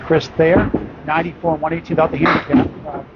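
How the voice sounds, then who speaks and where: A man with a slightly high voice calls a bowling tournament live, working through scores and records in a plain, matter-of-fact way. The sound is dull and lo-fi, with loud noise from the alley all around him. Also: level tone, quick pace. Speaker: bowling announcer. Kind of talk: live sports commentary.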